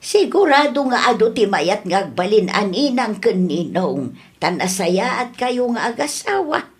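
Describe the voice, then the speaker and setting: A woman playing a chatty, good-natured village wife and mother in a comedy radio drama, talking in an unhurried, conversational way. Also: medium pitch, very animated. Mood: chatty, easygoing.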